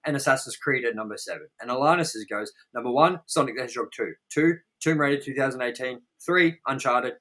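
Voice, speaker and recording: A man's voice: medium pitch, brisk, few pauses, a bit bright. An Australian man hosting a podcast, talking casually and crudely in a ranting, swear-heavy film review. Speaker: podcast host.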